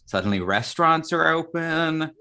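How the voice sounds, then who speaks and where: Middle-aged American male host, very bright, forward voice, informal and enthusiastic. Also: fairly high pitch, expressive, moderate pace, creaky, clean tone. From Arizona, United States. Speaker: talk show host. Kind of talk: live casual chat show hosting.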